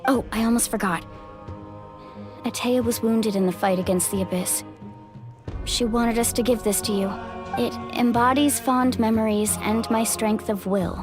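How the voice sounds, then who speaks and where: A woman's voice: fairly high pitch, fairly even intonation, moderate pace, close to an everyday voice. An actress playing an earnest, polite young female warrior in an anime video game. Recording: music under the voice. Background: game music.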